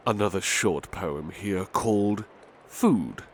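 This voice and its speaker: A man playing a big-hearted butler, reading poems in a measured, gentle, formal ASMR style. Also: low voice. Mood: gentle, courteous. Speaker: ASMR roleplay performer. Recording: faint ambient background noise.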